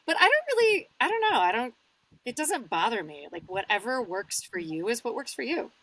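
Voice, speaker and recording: A woman's voice: on the high side, sing-song, brisk, fairly bright tone, poor audio. A woman who works as an audiobook narrator, chatting casually as an interview guest in a candid, easygoing, self-deprecating way. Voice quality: husky.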